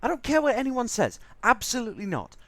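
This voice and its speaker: A man's voice: extremely high pitch, huge pitch swings, fast talker, a bit bright, no echo, heavily acted. An actor playing an exasperated, sarcastic, foul-mouthed crewman in a science fiction audio drama. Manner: sardonic, ranting.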